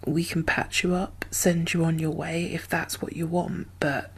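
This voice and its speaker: A woman speaking softly and often whispering in an ASMR roleplay, gentle and halting, as a guarded but caring apocalypse survivor. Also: low-pitched, level tone. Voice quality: soft, breathy, often whispered. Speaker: ASMR performer playing a role. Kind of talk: soft-spoken ASMR roleplay.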